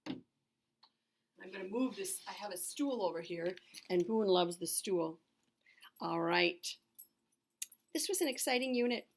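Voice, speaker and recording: A woman's voice: medium pitch, animated, takes it slowly, long pauses, a little hiss or hum. A middle-aged woman teacher giving a lesson to young children, asking questions and answering them in simple, explanatory phrases. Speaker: elementary school teacher.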